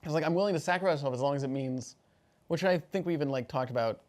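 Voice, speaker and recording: A man's voice: on the high side, racing, a little mellow. A man chatting casually as a player after a tabletop game. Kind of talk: casual post-game chat.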